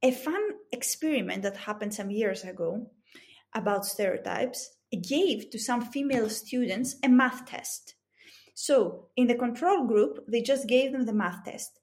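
Higher-pitched woman with a Greek accent. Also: unhurried, darkish tone. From Greece. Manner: explains methodically with examples. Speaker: interview guest, business coach and psychology expert. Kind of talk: explanatory interview answers.

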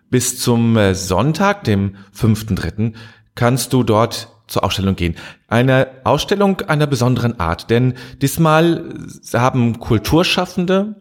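Man, host, casual report, fillers and self-corrections, mid-pitched voice. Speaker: community media host.

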